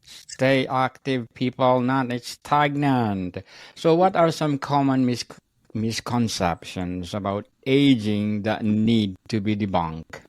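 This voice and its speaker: A male podcast host reads his prepared questions slowly and deliberately. Between them he gives brief words of agreement. The recording is close and dry, with no room sound. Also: mid-range voice, animated, no echo. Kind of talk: interview questions.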